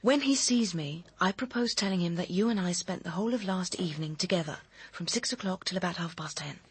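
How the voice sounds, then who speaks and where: Radio drama role: controlling, persuasive woman with a secret, very husky low voice, dry close sound. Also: brisk, no room sound. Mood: guarded, urgent. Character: cool, commanding, manipulative woman with a secret, coaching her lover.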